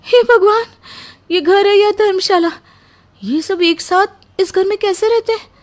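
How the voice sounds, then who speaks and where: A woman's voice: extremely high pitch, measured pace. A woman voicing a young, carefree bride who exclaims dramatically and is easily overwhelmed, in a Hindi cartoon story. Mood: shocked. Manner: exclaiming, melodramatic.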